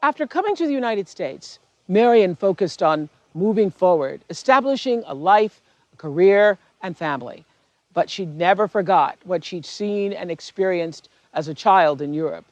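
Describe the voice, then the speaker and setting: A man's voice: high-pitched, animated, moderate pace, a little mellow, no echo. A man hosting a tribute event, giving a formal scripted introduction before a film segment. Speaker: event host. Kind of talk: scripted presentation. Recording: dull, dry recording.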